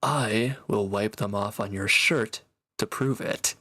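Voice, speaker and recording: A man playing a proud, teasing reformed villain and swordsman in an intimate audio roleplay, talking cockily to one listener in a gravelly voice. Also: fairly low pitch, slow, pushed and bright, dry recording, clean studio sound, full character voice. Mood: defensive, dry.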